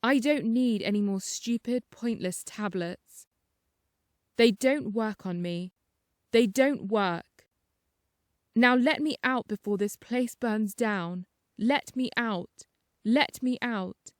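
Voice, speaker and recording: A frantic, paranoid man played by a woman reading a short story pleads and shouts in terror. The voice is pushed high and loud, repeating itself with desperate urgency. The sound is close and dry. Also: slow, no echo. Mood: defiant, desperate. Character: terrified, paranoid young man screaming warnings of demons.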